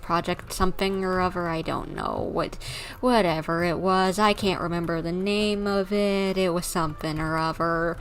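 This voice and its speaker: A woman's voice: on the low side, fairly even intonation, moderate pace, hardly any pauses, a little hiss or hum, rough recording. A woman livestreaming a video game, chatting casually and thinking aloud in a rambling, informal way.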